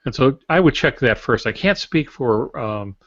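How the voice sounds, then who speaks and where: A man's voice: mid-range voice, expressive, moderate pace, no room sound. An older American man explaining things casually as a podcast co-host over a video call. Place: small indoor office with shelves. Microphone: video call, close to camera. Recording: dry, dull video-call audio.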